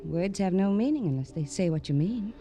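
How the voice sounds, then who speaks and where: A woman playing a wistful, mysterious young murder suspect in 1950s radio crime drama style, with measured, intimate phrasing. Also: mid-range voice, expressive, mellow, close to an everyday voice. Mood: tender, searching. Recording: vintage radio recording with music.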